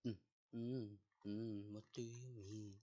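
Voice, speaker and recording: Woman gamer w/ really deep voice, teasing her friend, kinda dull lo-fi mic. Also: level tone, a little slow, mellow. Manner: playful, teasing, gasping and exclaiming. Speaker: gaming channel host. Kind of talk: casual gameplay chat with a friend.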